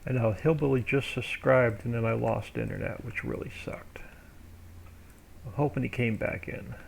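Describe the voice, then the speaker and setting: Gravelly man thinking aloud. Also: mid-range voice, normal speed, slight background noise. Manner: thinks aloud while working, relaxed and rambling. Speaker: live streamer building a game map. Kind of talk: casual live-stream commentary.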